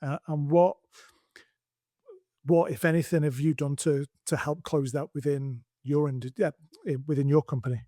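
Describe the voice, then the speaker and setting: A man's voice: on the high side, steady tone, normal speed, dark tone, no echo, studio quality. A man hosting an interview, telling stories casually and repeating words as he thinks. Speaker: podcast host.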